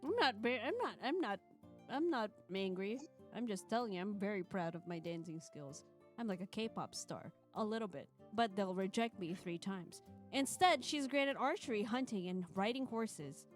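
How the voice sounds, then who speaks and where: A woman's voice: mid-range voice, lively intonation, moderate pace. A woman hosting a live stream, chatting playfully and self-deprecatingly while reading a visual novel aloud with expression. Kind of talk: live stream chat mixed with expressive narration. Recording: music playing behind the voice. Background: background music.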